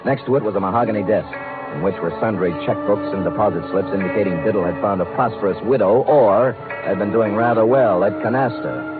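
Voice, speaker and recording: A tinny old radio broadcast with music behind it carries a hardboiled American private eye acted by a man. His voice sits low, and he talks in a wry, deadpan, streetwise way, as in a classic 1950s detective serial. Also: fairly quick, natural voice. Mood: wry narration. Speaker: lead actor and narrator of a detective series.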